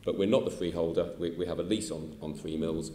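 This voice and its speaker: An older man with a British accent speaks low and deliberately, pausing often on filler sounds as he answers questions. His voice carries through a large council chamber with a long reverberant tail and faint room noise. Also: fairly even intonation, mellow. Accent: British English. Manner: measured, halting, many filler sounds. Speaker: chief executive answering questions. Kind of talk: formal committee testimony. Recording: echoey hall with faint ambience.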